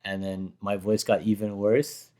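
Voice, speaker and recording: Hoarse man telling a rambling story. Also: fairly low pitch, level tone, unhurried, warm tone. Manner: rambling, self-correcting storyteller. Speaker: rapper reacting to his own performance. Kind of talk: casual chat.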